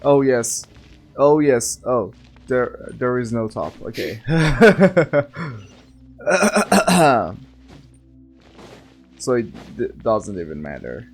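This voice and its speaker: A man giving casual gameplay commentary, thinking aloud in halting fragments. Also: mid-range voice, a little mellow. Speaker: game streamer. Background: game music.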